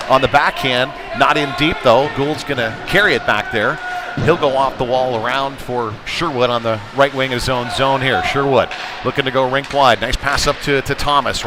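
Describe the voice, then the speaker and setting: A man doing live play-by-play commentary of an ice hockey game, following the play in conversational, clipped running phrases. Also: medium pitch. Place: indoor ice hockey rink. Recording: noisy live rink stream, loud background noise. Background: loud rink noise.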